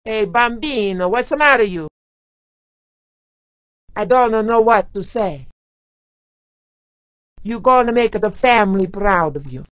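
A woman's voice: medium pitch, animated, takes it slowly, pauses often, dry recording. A woman playing a doting, teasing Italian-American mama, a theatrical and motherly video game character. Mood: proud, encouraging. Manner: theatrical, motherly, uses endearments. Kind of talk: video game character barks, exaggerated and exclamatory. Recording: slightly dull game audio clips.

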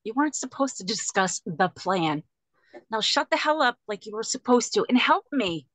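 A firm, exasperated heiress keeping an unruly family in line, played by a woman at a table read. Her voice is slightly high and recorded very dry. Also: sing-song, normal speed, fairly bright tone, dry recording. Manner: firm, no-nonsense.

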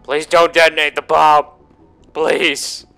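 A man's voice: fairly high pitch, little pitch movement, slow pace, pushed and bright, clean tone, soft background music. A young man giving casual, crude and exclamatory commentary while playing a video game. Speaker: gaming video host. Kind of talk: casual let's play commentary.

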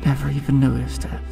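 A man acting a wary, compassionate and determined survivor of a deadly hunt in an audio drama, earnest and halting. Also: mid-range voice, creaky.

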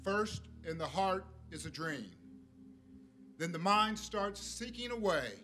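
A man's voice: high-pitched, expressive, slow, pushed and bright. A man reads poetry aloud at a podium microphone. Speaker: community poetry reader. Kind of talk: poetry recitation. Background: faint music.

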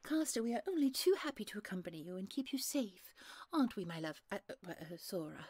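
A woman's voice: mid-range voice, sing-song, fairly quick, a little mellow, husky, dry recording. Woman acting a sweet, lilting flustered noble lady. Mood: genteel, affectionate. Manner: bubbly, hesitant, genteel. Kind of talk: fantasy audio roleplay acting.

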